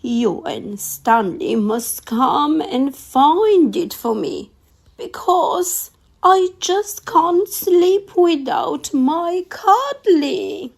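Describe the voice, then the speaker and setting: A woman voicing a whiny, needy male monster from a children's storybook, wailing and complaining in a drawn-out way. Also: sits high, expressive, vocal fry, pitched above the performer's own voice, exaggerated voice. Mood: tearful, wailing.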